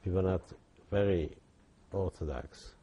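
An older man, giving halting oral history testimony with many restarts. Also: deep voice, steady tone, mellow. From Poland. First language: Yiddish. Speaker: interviewee.

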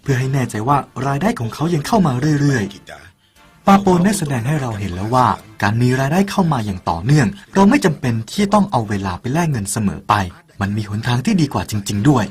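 Lively earnest male narrator, soft music. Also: medium pitch, a little fast. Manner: earnest, persuasive, addresses the listener directly. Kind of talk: scripted narration of a parable.

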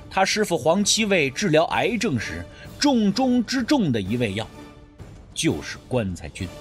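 A man reads a suspense audiobook in a high voice for a male. His pitch moves widely as he leans into dramatic storyteller phrasing. Music plays behind him. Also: husky. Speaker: audiobook narrator. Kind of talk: scripted suspense story narration.

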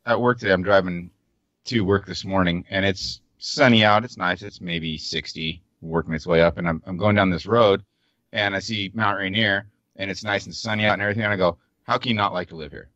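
A man's voice: low-pitched, fairly even intonation, a little fast, a bit bright, dry recording. An American man in his thirties chatting casually as a podcast host, with some swearing, over a slightly dull call. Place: dim home room, on a video call.

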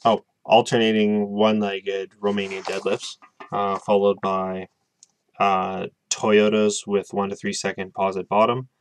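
Low gravelly-voiced young man vlogging. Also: little pitch movement, unhurried, dry recording. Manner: rambling, hesitant, many ums. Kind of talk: casual video diary. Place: small room with bookshelves, indoors.

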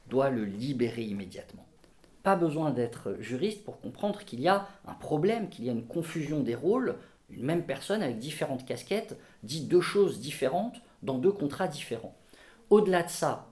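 A middle-aged man, a lawyer, explaining a legal matter in a measured, formal way in an office. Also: on the high side, darkish tone. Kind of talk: explanatory interview. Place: office indoors.

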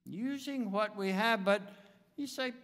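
An American man in his seventies preaching a sermon from a pulpit in a deliberate, emphatic manner with dramatic pauses. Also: high voice, fairly bright tone. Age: 70s. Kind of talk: sermon with anecdotes. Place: church sanctuary, speaking from the pulpit. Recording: reverberant church hall, long echo.

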